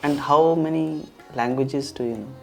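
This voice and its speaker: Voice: medium pitch, normal speed, vocal fry, darkish tone, faint music behind. A host in a casual conversation who asks questions and answers in short, casual remarks. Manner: brief back-channel replies, casual.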